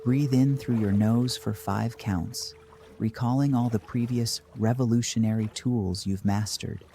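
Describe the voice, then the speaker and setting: A man narrating a scripted guided meditation in a measured, dry deadpan manner. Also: mid-range voice, a little hiss or hum.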